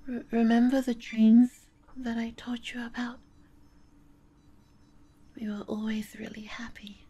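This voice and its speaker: A woman playing a lonely, devoted, remorseful woman in an ASMR audio roleplay, speaking softly and breathily with stammers and broken sentences. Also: fairly high pitch, little pitch movement. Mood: tender, sad. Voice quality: soft, breathy, often dropping to a whisper. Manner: halting, stammering, breaking up mid-sentence.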